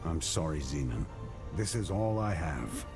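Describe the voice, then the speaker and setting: An actor playing a stoic, driven warrior knight in a fantasy game, speaking tersely and solemnly. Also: male voice, extremely low pitch, sing-song, a little slow, full character voice. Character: grim, driven warrior knight, brother of a tyrant. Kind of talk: cinematic fantasy game acting. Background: music.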